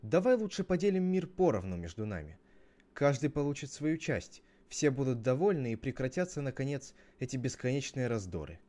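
A man voicing a domineering, hot-tempered grown brother in an animated parable, bossy and mocking. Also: medium pitch, a little fast, natural voice. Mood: persuasive, firm.

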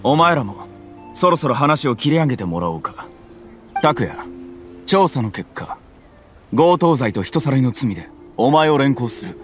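A man's voice: mid-range voice, big pitch swings, moderate pace, close to an everyday voice. An actor playing a stern male government officer in a game, with a formal, commanding way of talking. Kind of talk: game cutscene voice acting. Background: soft game music.

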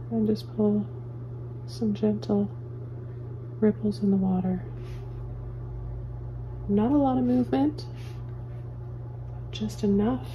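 A woman calmly talking viewers through painting step by step, as a painting teacher in her studio. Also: medium pitch, lo-fi sound, a little hiss or hum. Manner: calm, gentle step-by-step instructions. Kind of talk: real-time painting tutorial. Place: indoor studio at an easel.

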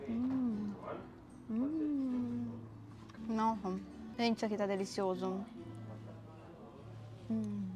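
A woman's voice: fairly high pitch, fairly even intonation, very slow, pauses often, music in the background. A young woman with a Brazilian Portuguese accent, chatting to camera as a travel vlogger while tasting food in a restaurant, on a lapel mic. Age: young adult. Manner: chatty, tasting aloud with murmurs. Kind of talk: casual food vlog to camera. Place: restaurant terrace, indoors.

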